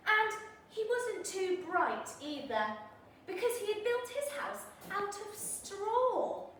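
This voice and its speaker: Casting: female reader playing sly storybook wolf pleading his case, conspiratorial, very lively pitch swings, unhurried delivery. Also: exaggerated voice. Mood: self-justifying. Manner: animated, conspiratorial, addresses the listener directly. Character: self-justifying, sly big bad wolf telling his side. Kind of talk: dramatised children's storybook reading. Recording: echoey room, distant mic, faint noise.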